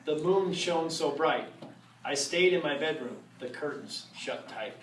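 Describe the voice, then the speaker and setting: A man reads a rhyming story aloud with playful asides. His voice is on the high side for a man. He is heard from some distance in a reverberant room with steady background noise. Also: measured pace. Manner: playful, jokes between rhymes. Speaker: reader at a storytime. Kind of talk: reading a rhyming picture book aloud. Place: room with a live audience. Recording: echoey room from far off, noisy.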